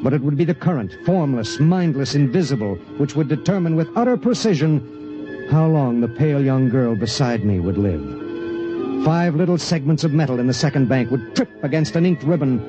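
An American actor playing a grave spaceship pilot, regretful but unbending, in earnest, measured old-time radio drama style. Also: male voice, medium pitch, lively intonation, lo-fi sound, natural voice. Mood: somber narration. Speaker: actor and first-person narrator. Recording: vintage radio recording with music behind.

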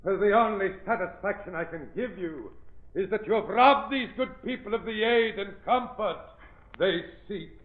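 An actor playing an indignant, pompous spiritualist medium in an old radio drama, speaking formally and accusingly. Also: male voice, high voice, normal speed, lightly acted. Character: indignant male spiritualist medium, commanding and theatrical. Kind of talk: 1940s radio drama acting. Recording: muffled, echoey old radio recording.

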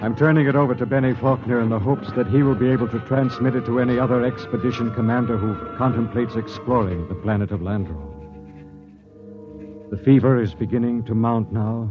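Male actor as weary American expedition captain, middle-aged, solemn and measured, mid-pitched, 1950s radio drama, muffled vintage recording. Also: fairly even intonation, close to an everyday voice. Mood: solemn, doomed. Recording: dull vintage radio broadcast recording, music.

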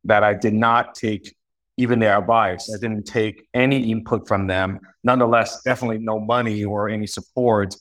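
A man from northeast China in his thirties, speaking as a guest in a relaxed interview. His voice sits a little low, and he talks in an earnest, reflective way, often doubling words as he restarts a thought. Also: moderate pace. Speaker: guest, company founder. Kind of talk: casual interview conversation.

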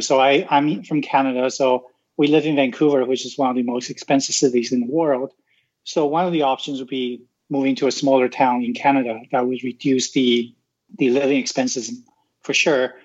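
A man's voice: medium pitch, a little mellow, dull audio. A man from Taiwan, a podcast guest, explaining his points in casual talk, often ending with a questioning "right?" and restarting his words. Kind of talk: casual interview answers.

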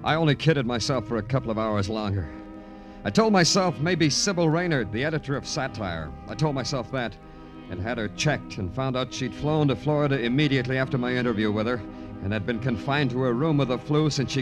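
An actor playing a terse, world-weary police detective, clipped and understated, in old radio noir style. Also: male voice, medium pitch, lightly acted. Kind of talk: 1950s radio noir acting and narration. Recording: vintage radio recording with music behind.

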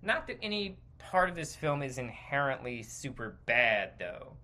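A man hosting a podcast, giving a casual, rambling opinion rant with halting, stop-and-start phrasing and informal swearing. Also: mid-range voice, very animated, bright, forceful tone. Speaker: podcast host.

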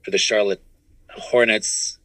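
Bright, forceful middle-aged man, lowish pitch. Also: fairly even intonation, a little slow, no echo. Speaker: interview guest, comedy writer and voice performer. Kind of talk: casual conversational storytelling.

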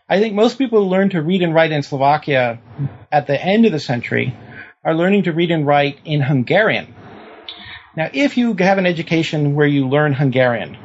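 Man explaining history, lo-fi sound. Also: a little high. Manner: lecturing, thinking aloud with restarts. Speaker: historian guest. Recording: dull lo-fi recording.

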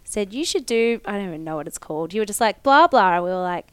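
Husky-voiced Australian woman, dry sound. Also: medium pitch, lively intonation, quick pace, no room sound. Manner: teasing, playful banter. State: husky voice from a chest infection. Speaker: podcast co-host. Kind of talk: casual chat.

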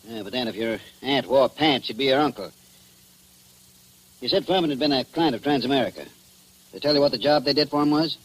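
An actor playing an unruffled, laconic police chief in old radio drama style. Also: male voice, medium pitch, a little fast, pauses often, natural voice. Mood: dry, wry. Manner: laconic, dry. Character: unruffled, wry male small-town police chief. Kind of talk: 1940s radio drama acting. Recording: noisy old radio broadcast recording.

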